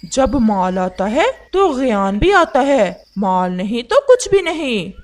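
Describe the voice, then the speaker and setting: A woman's voice: on the high side, sing-song, slow, darkish tone. A woman voicing an arrogant, rich old male crow who sneers at the poor and boasts he never ages. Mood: dismissive. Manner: scornful, brags about wealth, denies getting old. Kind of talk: Hindi cartoon voice acting.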